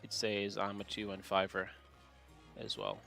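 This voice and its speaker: A man's voice: fairly low pitch, big pitch swings, unhurried, creaky, sharp tone, soft background music. A man from Jamaica hosting a live trading stream, chatting casually and joking with viewers in the chat. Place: home setup, UK.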